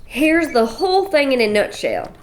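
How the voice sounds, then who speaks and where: A woman's voice: sits high, wildly swooping pitch, normal speed, slight background noise, low-quality recording. A woman voicing a bossy, blunt, middle-aged widow from a cattle ranch, speaking. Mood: bossy, folksy. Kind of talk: radio play acting.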